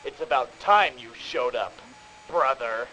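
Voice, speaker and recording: An actor playing an arrogant, vengeful rebel brother in a melodramatic video game scene. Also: male voice, mid-range voice, lively intonation, unhurried, bright, forceful tone, slight background noise, poor audio. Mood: taunting, vengeful.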